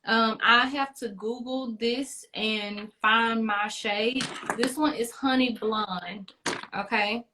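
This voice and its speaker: A woman's voice: fairly high pitch, steady tone, takes it slowly, bright, forceful tone, a little hiss or hum. A woman hosting a casual beauty chat, talking in a playful, encouraging and chatty way. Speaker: beauty livestream host.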